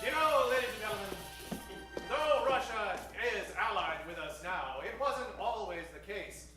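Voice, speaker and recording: A man's voice: sits high, animated, normal speed. A man narrating an old-time radio show as announcer, with theatrical, dramatic delivery. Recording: echoey stage, distant mic, faint music.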